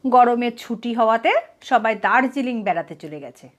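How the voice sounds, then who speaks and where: A woman storyteller giving an expressive scripted reading of a comic short story. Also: on the high side, moderate pace.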